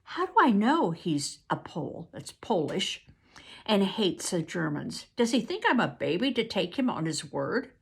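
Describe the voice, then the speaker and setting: Lady doing a stern sharp uncle giving orders, gravelly husky voice. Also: mid-range voice, sing-song, measured pace, exaggerated voice. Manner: sharp, commanding. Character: stern older uncle, boat captain.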